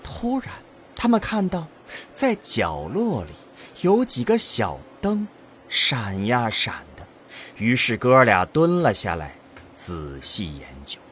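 A man's voice: medium pitch, huge pitch swings, takes it slowly, fairly bright tone, soft background music. A man narrating a scripted science fiction audio drama in a dramatic storytelling manner.

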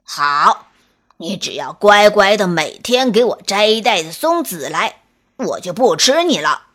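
A woman's voice: medium pitch, big pitch swings, unhurried, a bit bright. A woman voicing a menacing, threatening big grey wolf in a children's bedtime story. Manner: growling, threatening.

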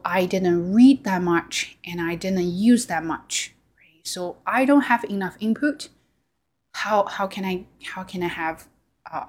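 A woman's voice: mid-range voice, darkish tone. A woman with a Chinese accent, whose first language is Chinese, talking earnestly to camera as a language learning vlogger, often saying "like" and "right?" and restarting her sentences. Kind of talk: casual reflective monologue.

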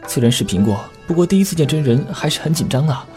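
A man's voice: fairly high pitch, lively intonation, quick pace, darkish tone, natural voice. A man playing a flustered, secretly smitten male shop owner in an audio drama, sighing and muttering to himself. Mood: wistful, grumbling. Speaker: voice actor in an amateur radio drama. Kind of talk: Chinese audio drama acting. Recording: music behind the voice. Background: background music.

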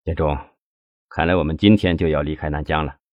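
A man's voice: extremely low pitch, fairly even intonation, brisk, dry recording, highs cut off, pitched below the performer's own voice. A man voicing a troubled, anxious husband who talks with heavy earnestness and command in an audiobook. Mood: sorrowful, tender. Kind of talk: audiobook character dialogue.

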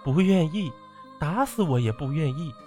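Man voicing a desperate young village woman, higher-pitched. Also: lively intonation, moderate pace, warm tone, faint music behind, natural voice. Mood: defiant. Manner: pleading, resolute. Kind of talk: audiobook character acting.

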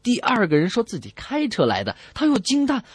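Very animated male radio comedy host. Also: very high-pitched, brisk, breathy edge, no echo. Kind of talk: joke telling and song dedications.

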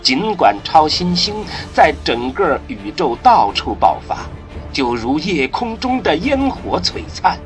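A man with a high voice narrates a science-fiction radio drama over prominent music. He is solemn and dramatic, pausing weightily and sweeping widely in pitch. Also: very animated.

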